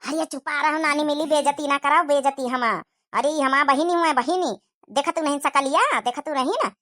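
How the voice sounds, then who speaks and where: A cartoon village mother-in-law, acted by a woman, bossy and easily flustered. She fusses in an Awadhi accent. The voice is extremely high and sharply bright. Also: brisk. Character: bossy, fussing older village mother-in-law welcoming guests. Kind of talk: exaggerated cartoon comedy dialogue.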